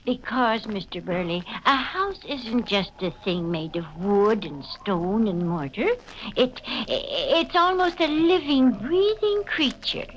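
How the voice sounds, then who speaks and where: A woman's voice: a little high, animated, takes it slowly. An actress playing an intense, eerie, earnest woman in a 1940s radio horror drama. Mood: foreboding. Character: intense, eerie, pleading woman obsessed with her family house. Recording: noisy, dull old radio recording.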